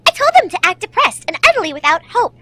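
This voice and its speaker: A woman voicing a tiny, excitable, childlike fairy-like creature in a fantasy audio drama. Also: very high-pitched, fast, dry recording, exaggerated voice. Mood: earnest. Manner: gleeful, childlike, eager.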